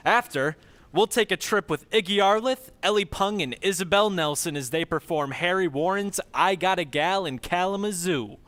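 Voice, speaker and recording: A man's voice: high-pitched, a bit bright. A man hosting a radio variety show, reading scripted introductions like a folksy showman. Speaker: show host, announcer.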